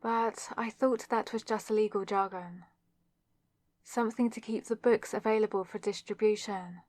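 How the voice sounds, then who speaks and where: A woman's voice: medium pitch, fairly even intonation, normal speed, a little mellow, dry recording, lightly acted. A young woman playing a flustered, awkward student witch with a wry, self-deprecating manner in an ASMR roleplay, speaking straight to the listener. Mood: surprised, incredulous. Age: young adult. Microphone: close microphone in a dry space.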